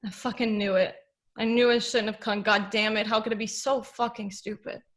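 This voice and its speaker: A woman reading the part of a lonely, grieving teenage girl at a table read, talking quietly to the camera. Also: fairly high pitch, level tone, normal speed, lightly acted. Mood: angry. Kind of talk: table read of a film script.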